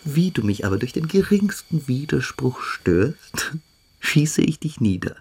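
An actor playing a fanatical, cruel young cadet in a radio play, giving hypnotic commands that sink toward whispers. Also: male voice, medium pitch, sing-song, a little slow, darkish tone, slightly rough, exaggerated voice. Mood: menacing command.

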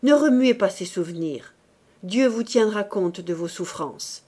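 A woman voicing a stern, forceful male priest with an urgent, commanding manner, in dramatic audiobook style. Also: medium pitch, animated, a little slow, slightly rough. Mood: urgent admonishing. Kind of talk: dramatic audiobook character acting.

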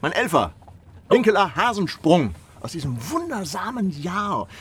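An actor playing a grand, playful old privy councillor and poet in a German radio play, musing and theatrical. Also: male voice, high-pitched, big pitch swings, moderate pace, a bit bright, breathy edge, heavily acted. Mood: playful, curious. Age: older. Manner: theatrical, musing, swinging from exclamations to murmurs.

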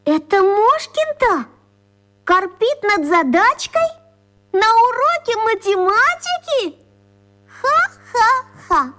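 Smug, high-pitched little schoolgirl. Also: big pitch swings, bright, forceful tone, exaggerated voice. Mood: mocking. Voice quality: girlish. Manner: drawn-out, taunting, theatrical. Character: smug, pushy, teasing schoolgirl. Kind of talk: children's radio play acting.

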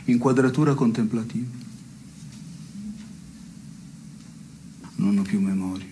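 Actor as a low-voiced, wry, needy man. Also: brisk, dark tone, close to an everyday voice. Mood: contemplative. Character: needy, mocking, self-satisfied man partner in absurdist dialogue. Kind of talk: absurdist theatre dialogue on radio. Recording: noisy stage recording with ambience.

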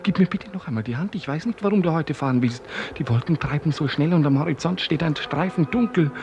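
An actor playing a lovesick, weary clerk who pleads in the lyrical, theatrical manner of a 1950s German radio play. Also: male voice, fairly high pitch, fast talker, lo-fi sound, lightly acted. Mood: anxious pleading. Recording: noisy old 1950s radio drama recording.

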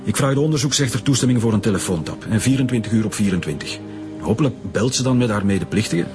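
Casting: male actor as Flemish police director, low voice, cautious authority, reasoned radio-drama delivery. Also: expressive, quick pace, over music, close to an everyday voice. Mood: cautious, persuasive.

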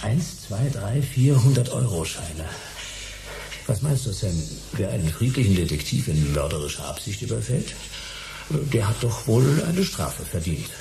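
A man's voice: fairly low pitch, big pitch swings, unhurried, creaky, noisy background, exaggerated voice. A man playing a terse, dry, stubborn private detective in a German sci-fi radio play. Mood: wary, dry.

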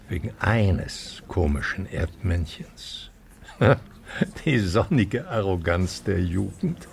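A man's voice: on the low side, creaky, a little mellow, a little hiss or hum, full character voice. An actor playing an older male economics professor in a radio drama, lecturing with courtly irony and rhetorical flourish. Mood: guarded, ironic. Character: charming, sardonic old male economics professor and dissident.

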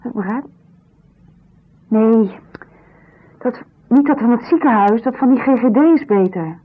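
A woman's voice: fairly high pitch, unhurried. An actress playing an agitated, impatient woman in a naturalistic radio drama, speaking in urgent, clipped phrases. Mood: worried, urgent. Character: agitated woman, bold and impatient, anxious in crisis. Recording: dull lo-fi 1970s radio recording.

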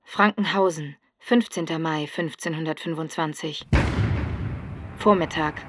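A woman's voice: deep voice, unhurried, slight background noise. A woman announcing place and date as a scripted announcer in an audio drama. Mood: factual.

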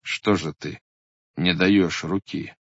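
A proud, defiant Cossack lord speaks sternly and with command in a low voice, as a male narrator plays him. The storybook recording is muffled and dry, with a close, lo-fi sound. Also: fairly even intonation, takes it slowly, dry recording. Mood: tender.

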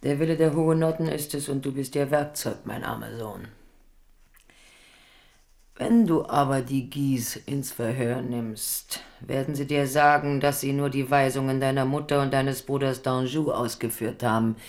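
A woman's voice: extremely low pitch, fairly even intonation, moderate pace, darkish tone. An actress playing a scheming, domineering older queen mother in a radio play, imperious and urgent. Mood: cold, insistent. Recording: 1970s studio radio drama.

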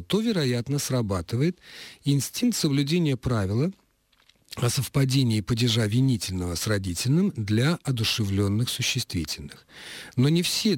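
A male radio host with a slightly husky voice reads a listener's letter aloud in the studio. He delivers the text deliberately, carefully stressing key words. The recording is dry, with no room around the voice. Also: mid-range voice, dry recording.

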